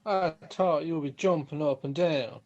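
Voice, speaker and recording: A man's voice: fairly high pitch, moderate pace, darkish tone, natural voice. A man in an amateur radio drama playing a mild, hesitant husband and father. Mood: mild, deliberate. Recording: dull lo-fi sound.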